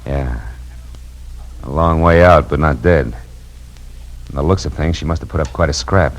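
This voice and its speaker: An actor playing a tough, steady private detective in 1940s radio style, clipped and commanding, narrating and talking in character. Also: male voice, extremely low pitch, normal speed. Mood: grim, probing. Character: tough, steady male private detective, hardboiled but decent. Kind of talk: 1940s radio acting with first-person narration. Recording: old radio drama recording with music.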